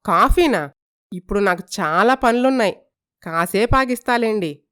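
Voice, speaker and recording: A woman voicing an excited, doting middle-aged mother-in-law in a cartoon story, gushing and fussy. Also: medium pitch, normal speed, clean tone, natural voice. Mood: defensive.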